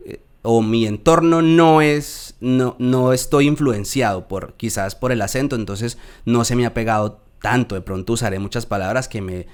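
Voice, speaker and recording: A man with a Colombian accent hosting a casual interview, unhurried and thoughtful, often restarting his sentences. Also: medium pitch, clean tone. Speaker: podcast host.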